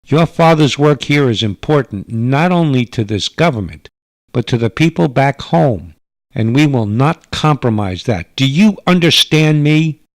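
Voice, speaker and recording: A man plays an alien mother in a sci-fi radio play, recorded close and dry. She speaks in formal, careful phrases, earnest and stern, sometimes halting for a word. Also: mid-range voice, expressive, dry recording, pitched above the performer's own voice. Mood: stern, angry. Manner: formal, careful foreigner's wording, halting for words. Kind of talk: radio drama narration with character voices.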